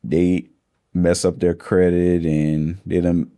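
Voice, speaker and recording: A man in his thirties, a funding expert talking casually in a podcast interview, slangy and relaxed. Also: low-pitched, slow, clean tone, dry recording, studio quality. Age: 30s. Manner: laid-back slangy talk, calls listeners bro.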